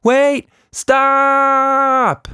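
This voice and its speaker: A man's voice: very high voice, completely flat delivery, very slow, bright, forceful tone, no echo, studio quality, pitched above the performer's own voice, full character voice. A man voicing an eager, curious young girl in a children's story. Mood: panicked.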